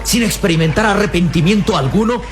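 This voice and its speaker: Higher-voiced dramatic male serial narrator. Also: brisk. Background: music.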